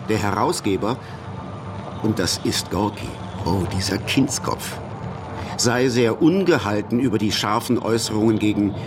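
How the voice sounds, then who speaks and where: An actor playing a wry, irritable revolutionary exile speaking a letter in a musing way in a radio drama. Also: male voice, on the low side, close to an everyday voice. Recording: distant mic, clear outdoor traffic noise.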